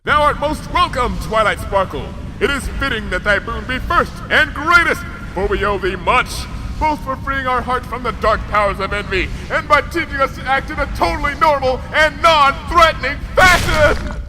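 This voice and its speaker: A man's voice: very high voice, moderate pace, background noise, pitched above the performer's own voice. A man voicing a regal princess of the night who speaks in thundering, formal old-fashioned speech. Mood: booming regal. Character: booming archaic princess of the night, female.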